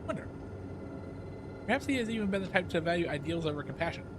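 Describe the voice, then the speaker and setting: A man streaming a video game, reading its dialogue aloud in a theatrical, expressive way. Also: sits high, fast talker, noisy background. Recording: loud game music behind voice. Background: loud game music.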